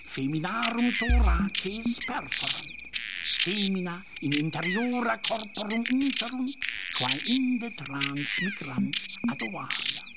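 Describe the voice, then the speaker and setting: A woman giving a scripted recitation of scientific text in a measured, declaiming manner. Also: medium pitch, expressive. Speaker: narrator. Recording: voice over loud electronic music. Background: electronic music with insect-like chirping.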